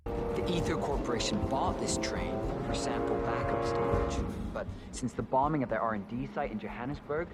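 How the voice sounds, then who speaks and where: A man's voice: mid-range voice, normal speed, natural voice. An actor playing a world-weary, sardonic male guard in a video game, with a dry delivery. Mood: matter-of-fact. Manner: dry, sarcastic. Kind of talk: video game dramatic dialogue. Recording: loud music over the voice.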